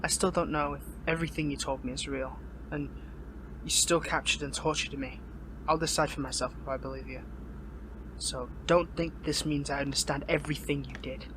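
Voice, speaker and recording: A young man playing a captured hero in an audio roleplay, earnest, conflicted and lovelorn, with stammering, pleading, breathy delivery. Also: on the high side, steady tone, a little fast, background noise, lightly acted. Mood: guarded, reflective. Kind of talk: dramatic audio roleplay acting.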